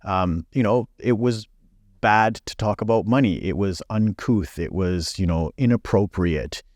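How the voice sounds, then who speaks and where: A man's voice: on the low side, a little slow, darkish tone, no echo, studio quality. A man in his forties, born in Belgium, speaking frankly as a podcast guest with many fillers. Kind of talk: casual interview chat.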